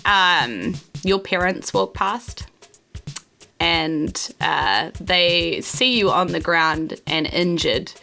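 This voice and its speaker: A woman with a New Zealand accent improvising narration as game master of a tabletop game, informal and halting. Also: on the low side, vocal fry, bright, forceful tone, soft background music.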